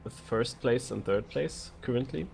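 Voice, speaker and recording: A man's voice: medium pitch, a little slow, vocal fry, a little mellow, soft background music. A man giving conversational live commentary on a racing game as a co-caster. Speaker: esports co-commentator.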